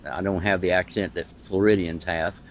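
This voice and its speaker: Rambling Ohio-accented podcast host. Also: male voice, low voice, steady tone, highs cut off, a little hiss or hum. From Ohio, United States. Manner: rambling, halting, friendly. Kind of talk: casual interview chat. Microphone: internet call.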